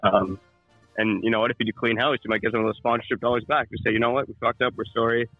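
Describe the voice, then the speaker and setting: A man's voice: mid-range voice, flat delivery, fast talker, no echo, faint music behind. A man co-hosting a casual sports talk show, blunt and conversational with some swearing, on a dull lo-fi mic. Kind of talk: casual sports opinion chat.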